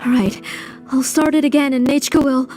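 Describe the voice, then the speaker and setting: A woman's voice: high-pitched, fairly even intonation, a little fast, a little mellow, soft background music, lightly acted. A woman playing a grieving, stubborn mother in denial in a video game, with a pleading, emotionally fraught delivery. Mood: frantic, pleading. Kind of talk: dramatic video game voice acting.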